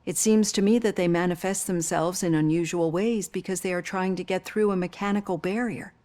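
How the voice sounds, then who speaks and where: An actress playing a psychologist in her fifties who asks gentle, probing questions. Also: fairly low pitch, fairly even intonation, brisk, close to an everyday voice. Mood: earnest. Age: 50s. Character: female psychologist in her fifties, caring and composed.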